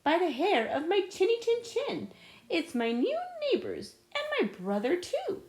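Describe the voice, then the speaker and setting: A woman voicing a friendly, excitable little male pig in a children's storybook, exclaiming in a sing-song manner. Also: very high voice, unhurried, reverberant, voice pushed up, exaggerated voice. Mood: excited.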